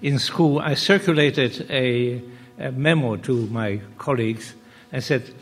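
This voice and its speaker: An older man, born and raised in Poland, giving a measured academic talk on a panel, pausing often and restarting phrases. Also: medium pitch, faint music behind. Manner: measured, with frequent pauses and restarts. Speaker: panel speaker. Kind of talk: academic lecture. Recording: reverberant hall.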